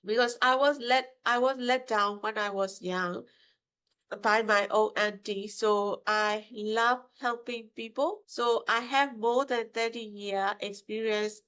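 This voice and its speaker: A woman, her voice sitting a little high. Her English is broken and halting, full of "uh" and "right?" as she explains her work to an interviewer. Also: steady tone, fairly bright tone, smooth. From Myanmar. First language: Burmese. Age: 50s. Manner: broken English with hesitations, emphatic tag questions. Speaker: guest, mortgage broker. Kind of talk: casual interview answers.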